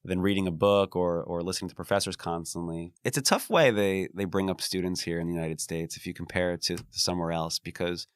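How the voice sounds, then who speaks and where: A man's voice: sits low, a little fast, few pauses, smooth, dry recording. A man speaking as a lawyer guest in an interview, earnest and reflective, often restarting his phrases. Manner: earnest, frequent restarts and "you know". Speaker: employment and labor attorney, guest.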